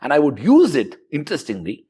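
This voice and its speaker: A middle-aged man from South India recalling his student years in a relaxed interview, reflective and fluent with frequent fillers. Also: fairly high pitch, fairly quick, slightly rough. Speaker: interviewee, university professor. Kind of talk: conversational interview.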